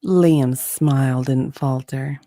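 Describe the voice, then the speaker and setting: A woman's voice: extremely low pitch, steady tone, slow, mellow, no room sound, studio quality. A woman narrating a romance story as a dramatic reading, in a sultry, suspenseful manner.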